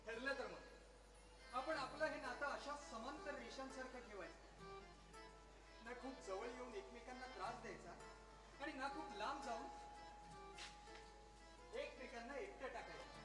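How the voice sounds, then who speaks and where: A young male actor playing a frustrated lover in a stage play, speaking emphatically and haltingly and repeating himself. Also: extremely high pitch. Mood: tender, teasing. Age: young adult. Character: frustrated young man quarrelling with the woman he loves. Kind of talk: one-act stage play dialogue. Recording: echoey distant stage recording, loud music.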